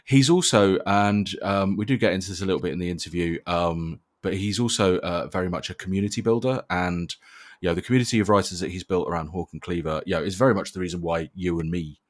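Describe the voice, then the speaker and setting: A man with a very low voice hosts a relaxed podcast. He talks in a bantering, conversational way, with dry humour often aimed at himself. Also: quick pace. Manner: dry, jokey, self-deprecating. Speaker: podcast host. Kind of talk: casual bantering podcast interview.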